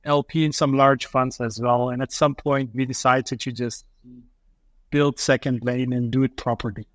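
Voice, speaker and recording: A man's voice: mid-range voice, steady tone, moderate pace, no room sound. A man from Afghanistan, a guest on a podcast, talking casually and acting out investors' thinking in quoted asides.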